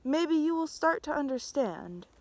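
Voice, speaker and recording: A young adult woman reading a picture book aloud outdoors, with a measured, expressive storytelling delivery. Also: high-pitched, dry recording. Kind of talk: storybook read-aloud, narrated as a tree. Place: outdoors in snowy winter woods.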